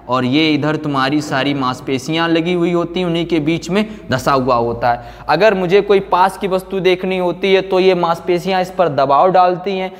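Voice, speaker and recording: A man giving a classroom lecture, repeating phrases for note-taking and answering his own questions. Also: on the high side. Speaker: physics teacher. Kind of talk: classroom lecture, explaining and dictating notes. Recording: echoey room with long reverb.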